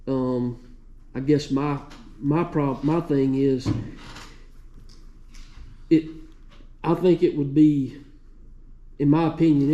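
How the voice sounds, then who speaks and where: Male council member, very mellow dark tone, plain-spoken and halting, muffled lo-fi meeting audio. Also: medium pitch. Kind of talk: informal meeting remarks. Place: indoor committee meeting room. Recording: dull, muffled meeting recording.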